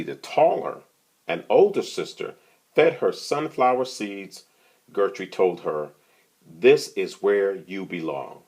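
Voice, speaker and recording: Storytime reader: male, very low register, takes his time, conversational delivery with asides to the kids. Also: husky. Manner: conversational, often asks the listener questions. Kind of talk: reading a picture book aloud, with casual asides to young listeners.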